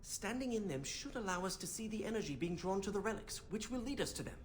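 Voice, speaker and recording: A man's voice: sits high, lively intonation, fast talker, a little hiss or hum. An actor playing a fussy, bookish young male mage in a video game, earnest and hesitant as he over-explains. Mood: hesitant, explaining. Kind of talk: video game character acting.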